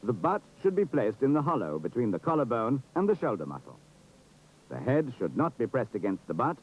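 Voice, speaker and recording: A man's voice: mid-range voice, breathy edge. A British man giving formal, precise, authoritative scripted narration for an instructional film. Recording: dull, muffled 1970s film soundtrack.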